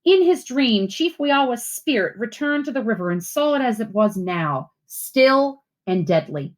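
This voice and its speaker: A woman reading aloud as a teacher to schoolchildren, with expressive, deliberate phrasing. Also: a little high. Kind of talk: read-aloud storytelling and simple instructions for children.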